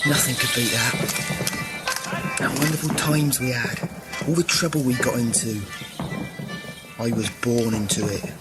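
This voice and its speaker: An actor playing a dying young village soldier, weary, regretful and defiant in a radio play. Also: male voice, medium pitch, measured pace, long pauses, loud music behind the voice, natural voice. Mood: wistful.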